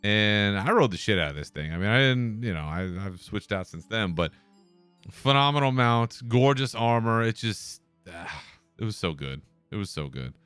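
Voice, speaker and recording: A man's voice: fairly low pitch, lively intonation, normal speed, a bit bright, smooth, no echo, soft background music. A man hosting a podcast alone, talking in a laid-back, casual way and swearing now and then. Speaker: podcast host. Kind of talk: solo casual commentary.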